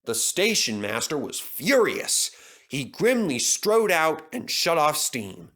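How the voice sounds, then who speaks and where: A man's voice: a little high, sing-song, slow, a bit bright. A man narrating a scripted children's story as the storyteller.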